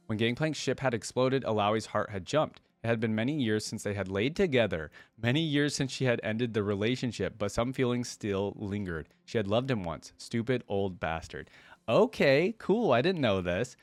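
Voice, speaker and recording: A man's voice: on the low side, lively intonation, normal speed, dry recording. A man hosting a reaction video, reading a story aloud and commenting casually as he goes.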